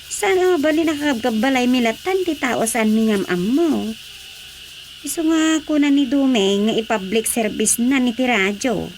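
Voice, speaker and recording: An actress plays a caring but wary village woman in a radio drama. Her voice is high and conversational, with faint insect-like noise behind it. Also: moderate pace, darkish tone, lightly acted. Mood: matter-of-fact. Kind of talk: radio drama acting, natural dialogue.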